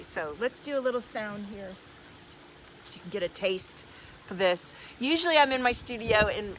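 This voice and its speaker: A woman's voice: medium pitch, a bit bright, rough recording. A woman speaking effusively and emphatically in casual new-age coaching style on a livestream, as a healer and host. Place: outdoors in the desert. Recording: clear background noise, outdoors.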